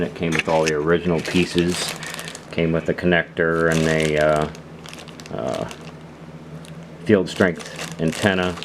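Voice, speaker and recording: Casting: low-voiced male hobbyist, casual hands-on demo talk, rambling and hesitant, clearly noisy recording. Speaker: radio hobbyist reviewer. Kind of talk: casual unscripted product demonstration.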